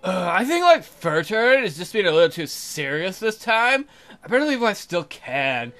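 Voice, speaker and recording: A man's voice: high voice, big pitch swings, normal speed, a bit bright. A man voicing an annoyed, airheaded young fairy-tale maiden in a visual novel, with a hesitant valley-girl drawl full of filler words. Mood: airy, idle.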